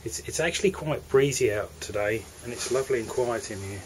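A man with an English accent walks through the cabins of a moored motor yacht, narrating a sales tour in a relaxed, hesitant way. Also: medium pitch, brisk, a little hiss or hum, rough recording. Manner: relaxed, hesitant, with fillers and restarts. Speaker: yacht broker. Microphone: handheld camera mic.

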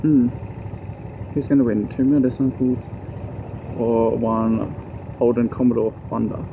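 A man's voice: mid-range voice, little pitch movement, a little slow, creaky, phone-quality sound. A man, talking casually to the camera while out on a motorcycle, musing aloud with fillers. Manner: chatty, offhand musing with fillers. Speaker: motovlogger. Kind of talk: casual vlog narration while riding. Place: outdoors on a motorcycle and at an open site. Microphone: helmet or action-camera mic. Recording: wind and engine noise.

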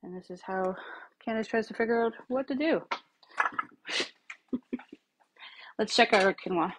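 Thirties woman vlogging in her kitchen, slightly breathy, loose and chatty with fillers, phone on the counter. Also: a little high, a little slow, rough recording. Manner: chatty, rambling, many fillers. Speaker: home cook vlogger. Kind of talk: casual cooking demo. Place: home kitchen, indoors. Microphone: phone camera on the counter.

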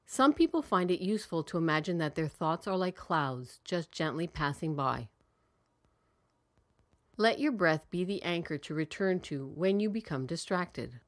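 A woman leading a guided meditation in a calm, soothing, measured way with long pauses between phrases. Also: on the low side.

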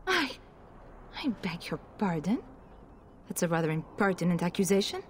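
A woman's voice: lowish voice, big pitch swings, moderate pace, slight background noise. An actress playing a distraught, proud noblewoman in a video game, formal and pleading. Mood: indignant. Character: distraught proud noblewoman, desperate mother and wife. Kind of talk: dramatic video game dialogue.

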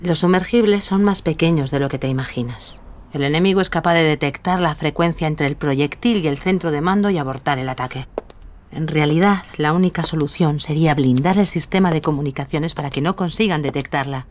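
An actress playing a self-assured, witty 1940s film star in a scripted audio drama, poised and seductive. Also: female voice, deep voice, a little fast, darkish tone, slight background noise, natural voice. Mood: earnest. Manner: poised, confident, seductive storytelling.

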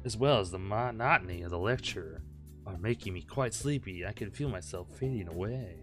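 Low-voiced man jokily reading aloud over music. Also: fairly quick. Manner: jokey, mock-dramatic, breaks off to riff. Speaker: gaming video host reading a visual novel. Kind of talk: comic read-aloud of game text with joking asides. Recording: music playing behind. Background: loud background music.

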